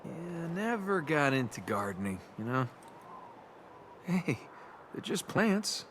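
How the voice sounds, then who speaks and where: An actor playing a cocky young adventurer and reluctant hero in a video game, with a wry, flippant, casual delivery. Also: male voice, on the high side, sing-song, takes it slowly, fairly bright tone, a little hiss or hum, heavily acted. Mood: casual, gentle.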